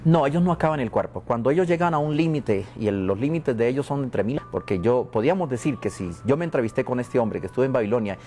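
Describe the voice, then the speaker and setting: A man's voice: medium pitch, animated, fast talker, hardly any pauses, a little mellow, soft background music. A man from Venezuela, interviewed about prophecy, speaking in a solemn, repetitive, preaching cadence. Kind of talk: spiritual and prophetic talk.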